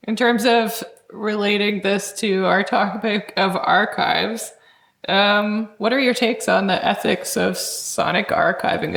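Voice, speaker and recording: A woman hosting an interview, posing considered questions and comments in a measured, articulate, academic way. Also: medium pitch, gravelly, a bit bright. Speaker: interviewer, host. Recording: roomy echoing sound.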